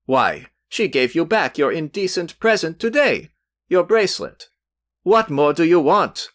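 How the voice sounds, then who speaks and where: A man's voice: mid-range voice, lively intonation, measured pace, fairly bright tone, no room sound, studio quality. A man reading aloud voices a brash, insolent young man who talks hotly with a raised voice. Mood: heated.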